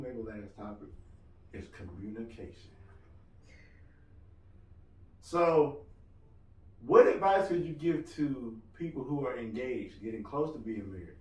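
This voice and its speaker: A married man co-hosting a casual podcast chat, loud-voiced, joking and rambling as he talks. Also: medium pitch. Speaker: podcast co-host. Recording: roomy room, mic at a distance.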